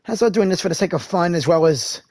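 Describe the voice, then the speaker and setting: A man's voice: high-pitched, lively intonation, fast talker, darkish tone, dry recording, studio quality. A man hosting a gaming video with casual, rambling commentary that often corrects itself.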